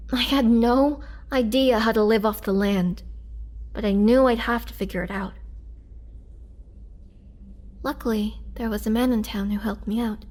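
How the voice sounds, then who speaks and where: A woman playing a gentle, sorrowful, youthful-looking but centuries-old imprisoned vampire, telling her story in a hushed, halting way for a dramatic roleplay. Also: medium pitch, close to an everyday voice. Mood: weary, confiding, then grateful, wistful.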